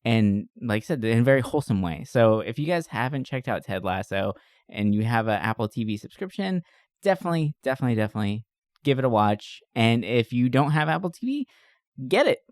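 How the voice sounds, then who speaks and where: A man's voice: mid-range voice, big pitch swings, fairly quick, dry recording, studio quality. A man hosting a podcast in a casual, jokey, enthusiastic and chatty style. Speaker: podcast host.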